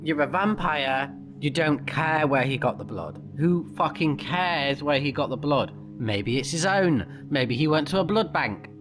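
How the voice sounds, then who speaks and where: A man doing casual let's play commentary, blunt, sweary and expressive, sometimes reading game text aloud. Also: on the high side, unhurried, few pauses. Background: game music.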